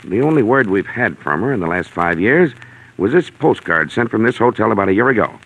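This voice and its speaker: Anxious, formal, low-voiced client. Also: male voice, animated, fairly quick, fairly bright tone, poor audio. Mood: hesitant. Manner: careful, proper, insistent. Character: anxious, formal male client seeking a missing girl. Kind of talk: 1940s radio drama acting. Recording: vintage 1940s radio recording.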